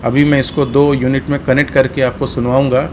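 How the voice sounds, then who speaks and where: An Indian man hosts a practical gear tutorial in a medium-pitched voice. He explains each step in turn and goes back over the key points. Clear ambient noise runs steadily behind his speech. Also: flat delivery, fairly quick. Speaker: host of a motorcycle gear tutorial. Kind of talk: hands-on product demonstration.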